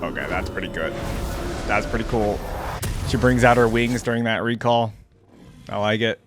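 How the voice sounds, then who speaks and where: Casual man reacting over clear music. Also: lowish voice, moderate pace. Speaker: reaction video host. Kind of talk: casual off-the-cuff reaction commentary. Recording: music playing behind the voice.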